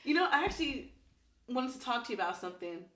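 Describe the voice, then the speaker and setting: A woman's voice: on the high side, very animated, quick pace, a bit bright, roomy sound. A woman co-hosting a podcast in casual, colloquial conversation. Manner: colloquial, informal. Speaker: podcast co-host. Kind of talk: casual chat.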